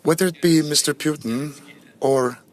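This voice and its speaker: Man interpreting at a press conference. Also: fairly high pitch. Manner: measured, with frequent pauses and fillers. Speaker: interpreter voicing a president's answers. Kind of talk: simultaneous interpretation.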